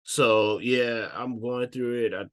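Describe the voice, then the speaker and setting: A man's voice: mid-range voice, fairly even intonation, slow pace. A young man co-hosting a podcast, congested from allergies and rambling drowsily. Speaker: podcast co-host.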